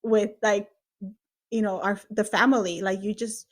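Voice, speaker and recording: A woman who grew up in California, hosting a casual podcast chat, talking warmly and switching into Spanish now and then. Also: mid-range voice, fairly even intonation, a little slow. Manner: chatty, laughs often, switches into Spanish. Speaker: host.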